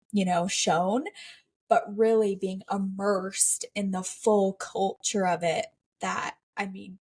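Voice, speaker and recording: Slow-talking woman podcast host. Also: medium pitch, slightly rough. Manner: thoughtful, unhurried questioning with filler words. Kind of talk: casual interview questions.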